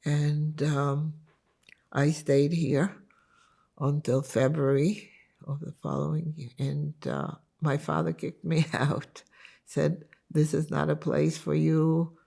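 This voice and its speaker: An older woman, Lithuanian-born with Yiddish as her first language, tells her life story slowly and thoughtfully in an interview. Her voice is deeply gravelly and husky, and she speaks at a very leisurely pace. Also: flat delivery, mellow. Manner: reflective, unhurried storytelling. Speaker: interviewee giving testimony.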